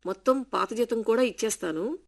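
A woman's voice: fairly high pitch, brisk, creaky. A woman reading the part of an anxious, overworked woman employer. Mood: worried. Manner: anxious, tired. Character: worried working woman, employer.

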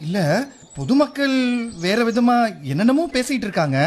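Man playing male scientist, drama: conciliatory, measured, expressive intonation, reasons gently with friends. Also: very high voice, heavily acted. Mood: placating. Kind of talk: scripted drama dialogue.

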